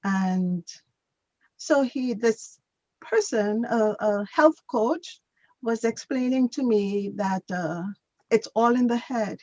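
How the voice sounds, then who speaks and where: A woman's voice: on the high side, slow pace, darkish tone, no echo, lo-fi sound. A woman working as an English teacher, tutoring in a patient, conversational way and repeating phrases to explain.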